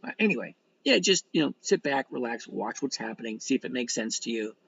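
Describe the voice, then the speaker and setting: A man's voice: mid-range voice, big pitch swings, brisk, vocal fry, a bit bright, husky, highs cut off. A man of sixty chatting casually as a livestream host, reading out and answering comments in a blunt, folksy, rambling way with some mild swearing. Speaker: livestream host and stock trader.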